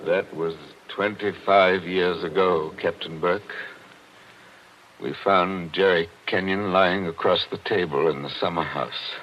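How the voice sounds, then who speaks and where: Haunted older gentleman, very low voice, precise and formal, vintage radio drama, dull old recording. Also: fairly even intonation, unhurried, a little hiss or hum. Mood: grave. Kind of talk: 1940s radio acting.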